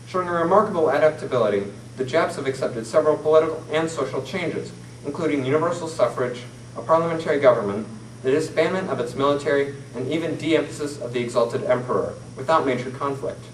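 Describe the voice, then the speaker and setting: A teenage boy reads a formal mock newscast from a sheet of paper, his voice sitting a little high. The sound is picked up by a distant camera in a roomy classroom, with a faint hiss underneath. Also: quick pace. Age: teens. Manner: formal, steady reading from a script. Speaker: student newsreader. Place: classroom, seated at a table. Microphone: far camera mic.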